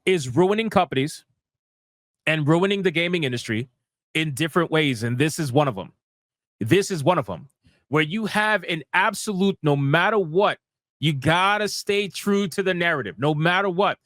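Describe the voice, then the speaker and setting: Man, high voice, dry close sound, casual ranting commentary, slangy, sweary, sarcastic, emphatic repetition. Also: fairly bright tone, dry recording. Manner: sweary, slangy, emphatic, repeats phrases for effect; sarcastic, mocking. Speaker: host of a gaming news livestream.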